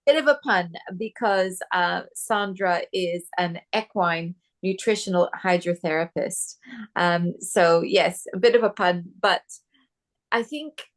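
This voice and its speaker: Slow-spoken woman hosting an interview. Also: fairly low pitch. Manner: polite and measured, with hesitant fillers. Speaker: interview host.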